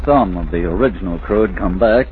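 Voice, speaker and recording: A man acting a calm, dogged investigator who narrates a sea adventure in a clipped, hard-boiled radio drama style. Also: sits low, a little fast, echoey room. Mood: grim, measured. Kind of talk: 1940s-50s radio drama, scripted narration and dialogue. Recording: muffled, heavily noisy old radio recording.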